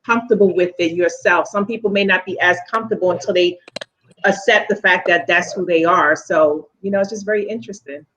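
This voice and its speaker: A woman chatting quickly and casually on an online talk show, reading out viewer comments as a co-host. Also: lowish voice, fairly bright tone, a little hiss or hum, poor audio. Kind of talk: casual chat.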